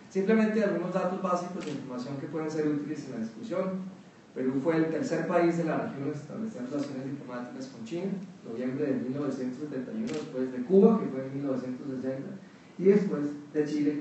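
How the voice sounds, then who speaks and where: A Colombian man with a mild, fairly neutral accent presents research at a panel, measured and hesitant, often pausing with fillers. His voice is dark and mellow, picked up from a distance. The big hall echoes heavily around him over a faint hum of room noise. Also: a little high. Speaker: panelist at an academic round table. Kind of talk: academic conference talk. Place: conference hall.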